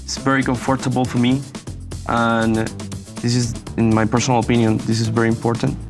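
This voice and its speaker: A young man from Venezuela, a university student answering interview questions in halting English and saying "you know" often. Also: medium pitch, level tone, music in the background. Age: young adult. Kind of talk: casual interview.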